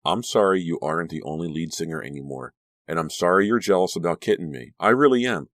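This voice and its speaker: A man's voice: low voice, fast talker, a bit bright, no room sound, clean studio sound. An actor voicing a frightened teenage girl who feels she is losing control, in an anguished, breathless way. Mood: defensive. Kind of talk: audiobook character reading.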